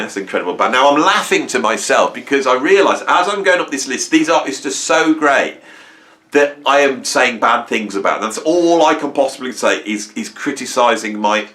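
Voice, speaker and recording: A man's voice: medium pitch, expressive, normal speed, pushed and bright. A man in his sixties hosting a music channel, talking casually to camera, enthusiastic and self-mocking with lots of restarts. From Britain.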